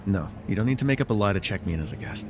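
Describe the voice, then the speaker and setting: A man playing a controlling, vengeful supervillain in an immersive ASMR roleplay, taunting and giving orders in a deliberate, mocking way. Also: lowish voice, expressive, noisy background, natural voice. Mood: controlled, commanding. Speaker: voice actor.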